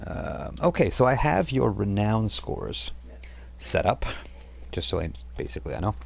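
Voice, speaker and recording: A very deep, gravelly man running a tabletop game, who explains rules and narrates scenes. His narration rambles, with restarts and fillers. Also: big pitch swings, moderate pace, a little mellow. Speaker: game master.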